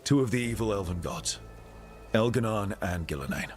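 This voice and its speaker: A deep-voiced adventurer hero from a fantasy game, played by a man. He is earnest and protective, measured in delivery, with music beneath. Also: expressive, close to an everyday voice. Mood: serious, concerned. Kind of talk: dramatic game dialogue.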